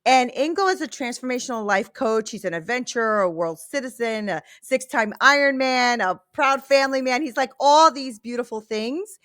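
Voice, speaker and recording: Woman, talk show host, gushing and chatty, fairly high voice, dry close-miked sound. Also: animated, normal speed, few pauses, fairly bright tone, no room sound. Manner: enthusiastic, gushing, frequent "right?" and "like". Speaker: podcast host. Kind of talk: casual interview chat.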